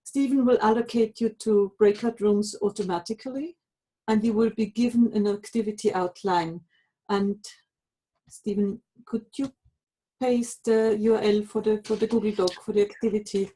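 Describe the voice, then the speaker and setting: Woman webinar presenter, mellow tone, explanatory and instructive. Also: mid-range voice, steady tone, moderate pace, low-quality recording. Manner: explanatory, gives practical instructions. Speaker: webinar presenter for language teachers. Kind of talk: live online lesson.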